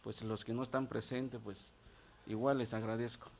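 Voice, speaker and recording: A man's voice: mid-range voice, level tone, normal speed, creaky, a little mellow. Masked man reading a formal address. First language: Ch'ol. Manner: formal, reads from prepared notes. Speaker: government secretary appearing before lawmakers. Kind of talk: formal address to a legislative committee. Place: legislative meeting room. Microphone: table gooseneck mic, face mask on.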